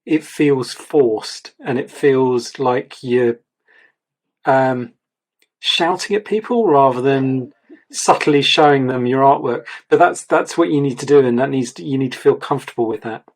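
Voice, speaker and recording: A man reviewing an artist's portfolio, talking in a rambling, repetitive way, his voice going a little croaky. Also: mid-range voice, a little slow. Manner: rambling, repeats words, gives advice. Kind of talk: casual mentoring chat.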